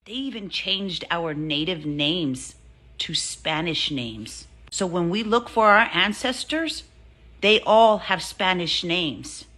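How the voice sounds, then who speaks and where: A woman's voice: lowish voice, animated, slow, bright, forceful tone. A woman making an impassioned spoken statement in a firm, emphatic, indignant way. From Southwest United States or Mexico.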